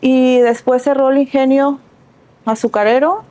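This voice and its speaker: A woman's voice: high voice, fairly even intonation, measured pace, warm tone. A middle-aged woman from Sinaloa, reflectively telling her life story as a guest in an interview, with small restarts. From Sinaloa, Mexico. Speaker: guest, entrepreneur.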